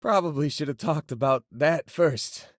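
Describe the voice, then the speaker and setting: A man's voice: a little high, lively intonation, measured pace, no echo, studio quality. An actor playing an easygoing, joking young male space miner in a video game, talking in casual, wisecracking slang. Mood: laid-back. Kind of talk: video game voice lines, conversational.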